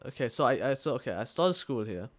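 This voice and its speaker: A man's voice: medium pitch, big pitch swings, fast, no echo. A young man co-hosting a casual podcast, telling a story with lots of fillers, restarts and swearing. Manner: rambling, swears often, many fillers and restarts. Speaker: podcast co-host. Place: living in Thailand, attends school there.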